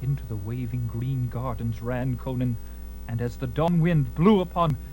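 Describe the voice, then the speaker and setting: A man's voice: mid-range voice, moderate pace, poor audio. A man giving a theatrical, suspenseful scripted narration of an adventure story, with music behind him. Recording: loud music under the voice. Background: loud dramatic music.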